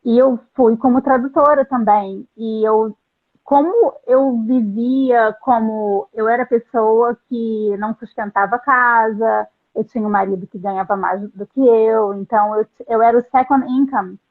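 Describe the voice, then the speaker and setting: A middle-aged woman with a somewhat high voice tells her story in an earnest, halting way, often restarting her sentences. The sound is thin and phone-like, as over a video call. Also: steady tone. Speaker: translator, interview guest. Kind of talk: reflective interview storytelling. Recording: tinny phone-like line.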